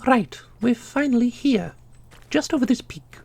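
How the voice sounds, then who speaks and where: A woman's voice: a little high, lively intonation, unhurried, gravelly, darkish tone, husky, full character voice. A woman playing an ancient, secretive divine custodian in a fantasy audio roleplay, knowing, theatrical and condescending. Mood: solemn, secretive.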